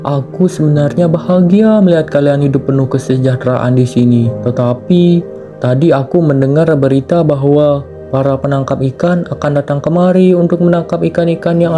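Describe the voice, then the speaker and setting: A man voicing a deceitful stork who poses as a saintly teacher, speaking in a solemn, measured, preacher-like manner. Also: a little high, warm tone, soft background music, natural voice. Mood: feigned concern.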